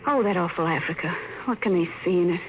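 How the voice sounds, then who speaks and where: A woman's voice: fairly low pitch, quick pace, slight background noise, lightly acted. An actress playing an anxious middle-aged mother in a 1950s radio drama, pleading and then screaming in terror. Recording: roomy, dull old radio transcription.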